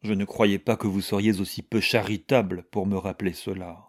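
A man's voice: fairly low pitch, steady tone, moderate pace, lightly acted. A man voicing an anxious, submissive middle-aged widow with a doleful, gushing manner in an audiobook. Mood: moaning reproach.

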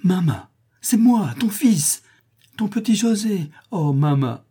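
A man voicing a carefree, affectionate young Mexican companion in an adventure story, lively and warm-hearted. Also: medium pitch, normal speed, breathy edge, close to an everyday voice. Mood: joyful.

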